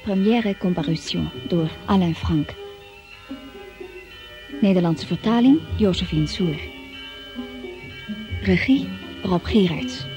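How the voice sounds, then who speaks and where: A woman's voice: on the low side, slow pace, long pauses. A woman reading the scripted opening credits of a radio play as announcer. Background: music.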